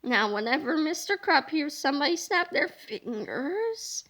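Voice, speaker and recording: A woman reads a children's book aloud with animated, playful narration. Her voice sits high and sounds bright and lively. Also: unhurried. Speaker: storybook reader.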